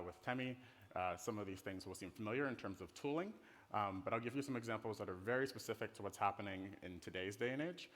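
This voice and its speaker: A man's voice: lowish voice, fairly bright tone. A middle-aged man with an American accent giving an informal conference presentation in a casual, playful, conversational way. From Netherlands. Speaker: conference speaker, design director. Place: conference stage in a hall. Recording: reverberant hall.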